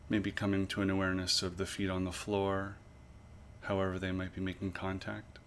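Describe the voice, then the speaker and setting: A man leading a guided body scan meditation as an instructor, with measured, pausing, gently instructive phrasing. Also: sits low, monotone, a little hiss or hum.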